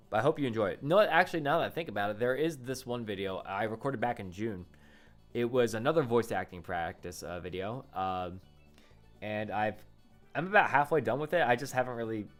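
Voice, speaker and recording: Rambling young man, soft music behind. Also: medium pitch. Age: 20s. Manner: rambling, self-correcting, lots of fillers. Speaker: YouTuber. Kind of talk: casual vlog update. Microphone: condenser studio microphone.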